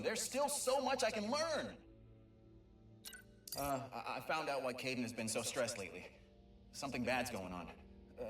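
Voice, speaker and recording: An actor playing an excitable young male Lombax mapmaker who stammers and rambles, in a video game audio log. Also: mid-range voice. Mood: somber. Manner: stammering, talkative, self-amused. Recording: echoey and distant, music behind.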